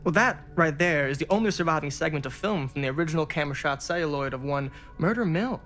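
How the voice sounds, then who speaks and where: A man's voice: on the high side, a bit bright. An actor playing a smug, wordy, hard-drinking male dealer in a cinematic video game. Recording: music under the voice.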